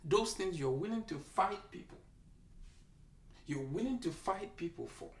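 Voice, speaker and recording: Slow, gravelly Nigerian-accented health preacher. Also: medium pitch, lively intonation. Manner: emphatic, exhorting, repeats lines for effect. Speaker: doctor giving health advice. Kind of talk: preachy health talk to camera. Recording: echoey room, mic held at a distance.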